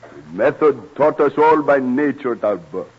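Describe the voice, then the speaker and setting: A husky-voiced old European music master, courtly and admiring. He speaks in formal, measured phrases, in the style of an old radio play, on a muffled vintage broadcast. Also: mid-range voice, lively intonation. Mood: admiring, solemn. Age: older. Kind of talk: old-time radio drama acting.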